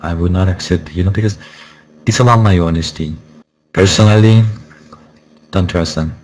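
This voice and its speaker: Very low male reviewer through a voice changer. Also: moderate pace, darkish tone, low-quality recording. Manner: insistent, repetitive, warning listeners. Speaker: software reviewer. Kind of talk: casual spoken review. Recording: background noise.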